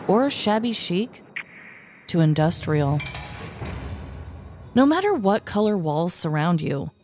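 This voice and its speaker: A woman co-hosting a comedy podcast, casual and playfully expressive as she chats and tells stories. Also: medium pitch. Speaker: podcast co-host. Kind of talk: casual comedic chat and storytelling.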